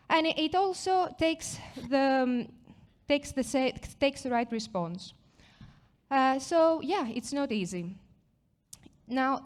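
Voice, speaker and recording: A woman from Greece with a Greek accent, giving a conference product presentation in a chatty, self-deprecating way with frequent fillers and restarts. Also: fairly high pitch. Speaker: product marketing manager presenting. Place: conference stage.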